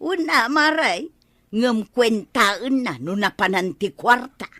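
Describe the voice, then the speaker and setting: A bright, forceful, fairly high-pitched village wife in an Ilocano radio comedy drama. She is feisty and aggrieved, complaining with emphatic, expressive delivery and an Ilocano accent. The sound is close and dry. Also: slow pace, dry recording, full character voice. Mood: aggrieved, scolding.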